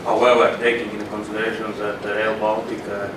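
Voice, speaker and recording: A man's voice: lowish voice, completely flat delivery, fast. A man in the audience at a panel asking a question, hesitant and searching for words, heard from across a conference hall. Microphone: room audio, away from the microphone. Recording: roomy and distant with background noise.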